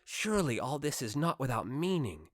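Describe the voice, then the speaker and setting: A man with a mid-pitched voice reads classic literature as an audiobook narrator. His delivery is expressive and rhetorical, with measured phrasing. The sound is close and dry, free of room echo. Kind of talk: dramatic literary audiobook reading.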